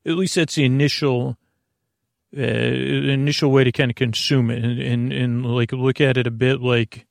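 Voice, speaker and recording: A man with a creaky voice hosting a sleep podcast, lulling and soothing as he meanders haltingly through a rambling bedtime monologue. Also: medium pitch, level tone, no echo, studio quality. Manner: meandering, halting, repeats and drifts off topic. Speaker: podcast host.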